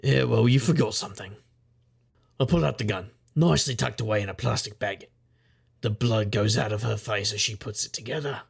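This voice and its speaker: An actor playing a sardonic, world-weary private investigator, narrating and talking in a dry deadpan noir style. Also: male voice, fairly low pitch, brisk, vocal fry, breathy edge. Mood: dry, knowing. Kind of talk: noir audio drama, first-person narration and dialogue.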